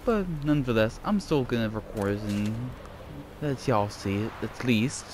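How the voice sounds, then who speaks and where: A man's voice: fairly low pitch, lively intonation, normal speed, background noise. A man with a mild British-style accent, chatting in a rambling, self-conscious way as he plays and reads aloud. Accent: mild British-style accent picked up from TV. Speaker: let's play gamer. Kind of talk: casual gameplay commentary and reading aloud. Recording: faint game music behind.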